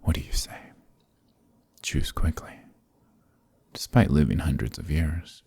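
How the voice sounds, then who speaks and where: Man acting a very deep, breathy vampire lord. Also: dark tone, no echo, full character voice. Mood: cold, commanding. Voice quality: breathy, husky, often dropping to a whisper. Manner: slow, commanding, condescendingly tender. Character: centuries-old male vampire lord, possessive, sadistic, silkily domineering. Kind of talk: intimate ASMR roleplay acting.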